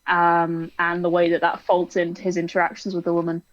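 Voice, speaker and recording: A woman's voice: on the low side, monotone, fairly quick, no room sound, highs cut off. A woman co-hosting a podcast in casual chat, talking in a chatty, wry way with plenty of fillers. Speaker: podcast co-host.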